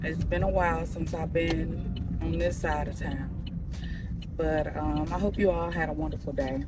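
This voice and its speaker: A woman's voice: low voice, fairly even intonation, normal speed, loud music behind the voice. A young woman with a slightly nasal voice, rambling casually to her vlog viewers and calling them y'all. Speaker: vlogger. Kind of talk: casual vlog chat to camera.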